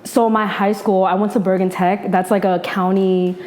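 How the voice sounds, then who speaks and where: A young woman in her twenties with a New Jersey accent, a journalist talking about her upbringing in a relaxed, slangy interview, full of "like" and restarts. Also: medium pitch, moderate pace. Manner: relaxed slangy talk, many likes and restarts. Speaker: journalist and podcaster guest. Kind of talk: casual interview chat.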